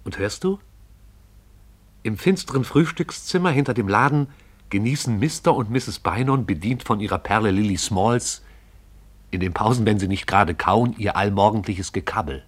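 A man narrating a radio play in a measured, poetic storytelling manner. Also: lowish voice.

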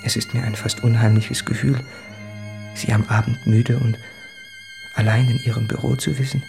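Guy playing anguished thirtyish letter writer, radio play, gravelly intense confessing, music underneath. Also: mid-range voice, flat delivery, moderate pace, close to an everyday voice. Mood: tender, earnest. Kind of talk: literary radio drama monologue.

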